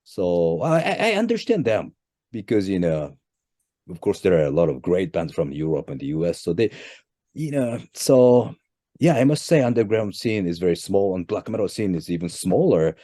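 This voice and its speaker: Japanese-accented male guest, lively pitch, mellow tone, dry close sound. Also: no room sound. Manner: halting, repeats words, pitch jumps up at each new point. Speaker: band leader, interview guest. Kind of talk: casual interview answers.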